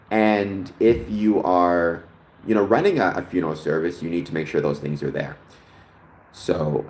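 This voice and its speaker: Man, very low voice, livestream host, casual explaining, reads viewer comments, echoey room. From Canada. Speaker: livestream host answering chat. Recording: echoey room with faint ambience.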